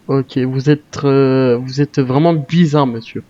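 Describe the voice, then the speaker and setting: A man's voice: mid-range voice, steady tone, slow, mellow, muffled recording. A man speaking in improvised game roleplay, commanding and suspicious in manner. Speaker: gamer in a zombie survival roleplay.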